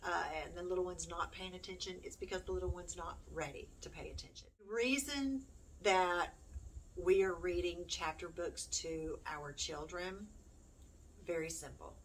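A woman's voice: on the low side, moderate pace. A middle-aged woman chatting casually to camera in a rambling, self-deprecating way. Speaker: homeschooling mom, YouTube host. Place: small room at home, indoors. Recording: distant mic, noisy room.

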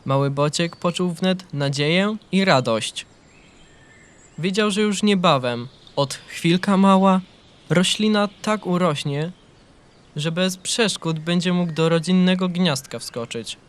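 A man reading a scripted children's story as narrator, in an expressive literary storytelling manner. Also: high voice, slow, clear, resonant tone.

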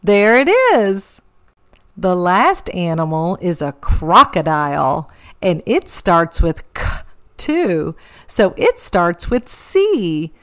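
A middle-aged woman hosting a read-aloud for young children, speaking in an animated, carefully enunciated teaching style. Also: fairly low pitch. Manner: animated, slow, careful enunciation, sounding out and spelling words. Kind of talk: storybook reading and interactive teaching for young children.

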